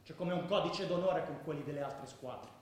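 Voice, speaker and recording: An actor in a radio drama playing a fervent football ultra who tells stories in a colloquial, emphatic way. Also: male voice, a little high, moderate pace, natural voice. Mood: earnest, proud. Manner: colloquial, emphatic, bursting into shouts and chants. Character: fervent male football ultra recounting matches and brawls. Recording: echoey room with faint noise.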